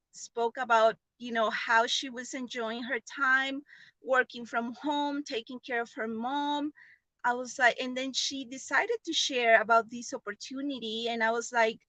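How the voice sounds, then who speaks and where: A woman with a non-native English accent gives an informal personal testimonial in an earnest, halting way, often repeating phrases. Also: a little high, bright, forceful tone, dry recording, rough recording. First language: not English. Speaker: online business member giving a testimonial.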